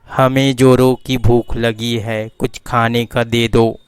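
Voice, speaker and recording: A man's voice: mid-range voice, flat delivery, takes it slowly, smooth, no echo, lightly acted. A man voicing a bossy cartoon dog. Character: scheming talking dog, adult male.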